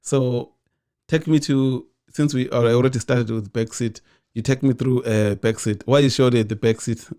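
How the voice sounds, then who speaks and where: A man hosting a casual interview, relaxed and repetitive, filling in with lots of agreeing. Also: medium pitch, normal speed. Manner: relaxed, repeats words, lots of yeah.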